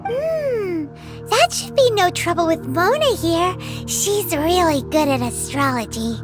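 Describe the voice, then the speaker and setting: Squeaky, childlike fairy sidekick. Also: female voice, lively intonation, measured pace. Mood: eager. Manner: refers to self by name, chirpy and childish. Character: tiny childlike fairy companion, chirpy, cheeky, self-praising. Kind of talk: video game character acting. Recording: game dialogue over loud music.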